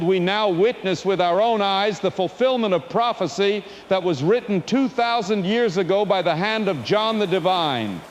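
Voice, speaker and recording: A man's voice: high-pitched, a little hiss or hum, close to an everyday voice. A man playing a fervent, solemn doomsday preacher, delivering a grandiose apocalyptic sermon in an audio drama. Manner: grandiose, solemn scriptural oratory.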